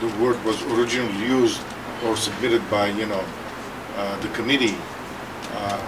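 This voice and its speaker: A man whose first language is Armenian adds a comment from the audience at a press conference. He speaks carefully, hesitating with many fillers. His mid-pitched voice comes from some distance away, with clear background noise around it. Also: steady tone, moderate pace. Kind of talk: spontaneous comment.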